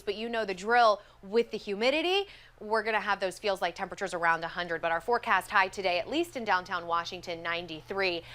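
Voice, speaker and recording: A woman's voice: medium pitch, fairly bright tone. An American woman presenting a live weather forecast in a conversational broadcast style.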